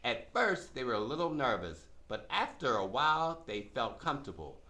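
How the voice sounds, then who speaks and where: Bright, animated male children's narrator. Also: mid-range voice, normal speed. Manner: simple, emphatic explaining to children. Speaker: puppet show narrator. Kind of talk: scripted children's educational narration.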